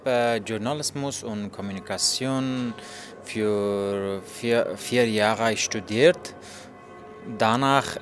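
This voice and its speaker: A man talks slowly and haltingly, stopping to search for words and starting again. His voice sits a little low. Soft music plays faintly behind him. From Afghanistan. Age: 30s. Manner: halting, many fillers and restarts. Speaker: journalist telling his story. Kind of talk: hesitant interview answers in learner German.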